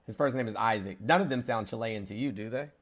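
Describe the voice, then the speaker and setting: A man's voice: lowish voice, quick pace. A man in his forties hosting a heated live-stream debate, lecturing a caller in a condescending way with many restarts. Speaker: host.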